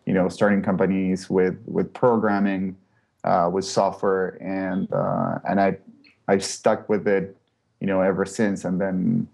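A man's voice: deep voice, little pitch movement, a little slow, creaky, a little mellow. A man from Chile, a startup co-founder, answering interview questions in a hesitant, casual way with many fillers and restarts.

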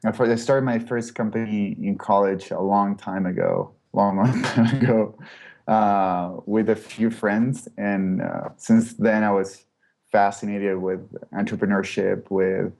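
Low, gravelly man from Chile. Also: fairly even intonation, measured pace, a little mellow. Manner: hesitant, many fillers and restarts. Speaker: guest, startup co-founder. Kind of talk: casual interview answers.

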